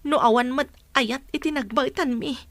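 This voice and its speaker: A woman's voice: sits high, normal speed, fairly bright tone, no echo. A woman playing a hurt, resigned estranged wife in a radio drama, with a northern Filipino accent.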